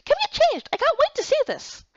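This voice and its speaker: A woman voicing an excitable, pushy young female friend in a gushing, eager manner for a voiced story game. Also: extremely high pitch, fairly quick, no room sound, lo-fi sound, voice pushed up, full character voice.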